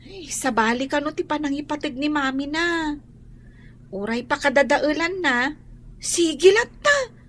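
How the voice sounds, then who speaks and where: High-pitched, slow-talking schoolchild. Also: female voice, lively intonation, a bit bright, a little hiss or hum. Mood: frank. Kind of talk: Ilocano family drama acting.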